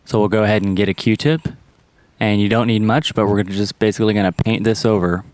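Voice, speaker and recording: A man's voice: fairly low pitch, steady tone, brisk, a little mellow, dry recording. A man narrating a step-by-step repair tutorial in a plain, instructional manner. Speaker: how-to presenter.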